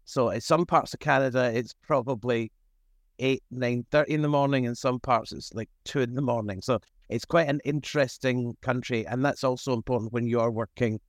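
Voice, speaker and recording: A man's voice: mid-range voice, fairly even intonation, brisk, dry recording. Modest man in his fifties, chatting, dry sound. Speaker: podcast guest, food safety executive. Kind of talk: casual reflective interview chat.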